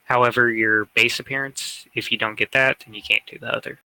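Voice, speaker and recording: A man's voice: mid-range voice, steady tone, a little fast, gravelly, bright, forceful tone, clear, resonant tone, dry recording, rough recording. A man chatting casually as a co-host on a gaming podcast.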